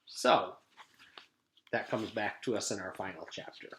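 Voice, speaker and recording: A man, a teacher, reading a chapter book aloud to his class in an expressive read-aloud manner. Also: fairly low pitch, measured pace, a little hiss or hum. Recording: echoey room.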